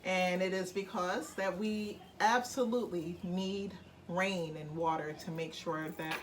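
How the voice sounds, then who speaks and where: A woman reading a picture book aloud as a bedtime story for children, speaking gently. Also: on the low side, steady tone, a little slow, a little hiss or hum. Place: home room with plants on the table.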